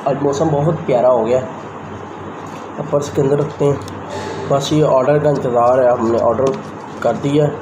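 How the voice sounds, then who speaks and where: A young man chats loosely to his phone camera. He talks in a mid-pitched voice. The phone recording is noisy, with outdoor sounds in the background. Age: young adult. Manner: rambling, conversational, talks straight to the camera. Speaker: vlogger. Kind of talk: casual vlog chat. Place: rooftop outdoors, then a small room indoors. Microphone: handheld phone camera at arm's length.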